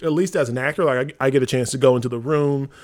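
Male podcast co-host, American, medium-pitched, loose and conversational, self-deprecating theatre director type. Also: quick pace, darkish tone. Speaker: artistic director and playwright on a theatre company podcast. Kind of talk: casual conversational podcast talk.

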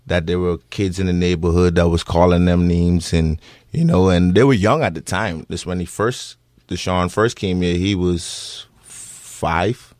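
A man in his thirties with a Bahamian accent, answering interview questions earnestly with many pauses and restarts. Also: deep voice, darkish tone, dry recording, studio quality. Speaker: interviewee, parent.

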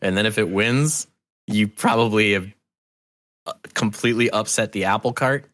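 A man's voice: fairly low pitch, normal speed, a bit bright, no echo. A man talking as an interview guest, loose and enthusiastic, swearing casually as he chats. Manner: loose, enthusiastic, freely profane. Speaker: podcast guest, audio drama co-creator.

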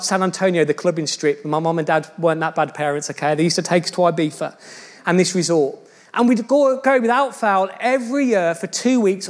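A pastor preaches in a relaxed, jokey storytelling voice. His voice sits high for a man, and he talks straight to his congregation. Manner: chatty, jokey storytelling, addressing the church directly. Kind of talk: sermon.

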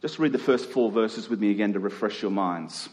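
A man's voice: on the low side, brisk, a little mellow. Male preacher in a reverberant hall. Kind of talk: expository sermon to a congregation. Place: large reverberant room, live church service.

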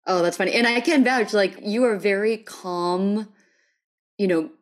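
An American woman chats as a podcast host with an enthusiastic, appreciative manner. Her pitch is average for a woman, and her style is casual and conversational. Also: a little slow. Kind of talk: casual interview chat.

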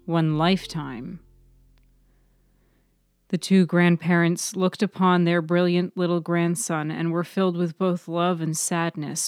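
A woman's voice: fairly low pitch, a little mellow, no echo, studio quality. A woman reading scripted fiction as the narrator of a serial story podcast.

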